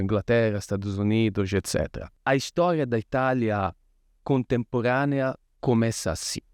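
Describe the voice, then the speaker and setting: Measured, academic man. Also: medium pitch, darkish tone, clear, resonant tone, dry recording, studio quality. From Livorno, Italy. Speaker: guest, sociology professor. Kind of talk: explanatory interview answer.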